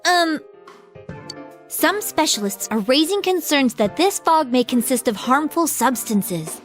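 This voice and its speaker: A woman playing a chatty, curious teenage schoolgirl in an English anime game, perky and expressive. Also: high voice, unhurried. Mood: earnest, concerned. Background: faint music.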